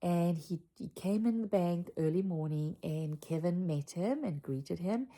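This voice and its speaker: A woman's voice: lowish voice, expressive, unhurried, dark tone. A South African woman, older, sharing a casual personal story with her channel viewers from home, chatty and full of asides. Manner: chatty and reflective, drifting into asides. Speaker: channel host. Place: home room with a Christmas tree.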